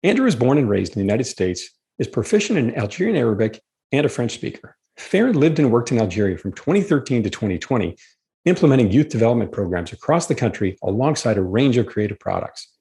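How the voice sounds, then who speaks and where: Lively, fast-talking male podcast host. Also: mid-range voice. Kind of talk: scripted intro then interview chat.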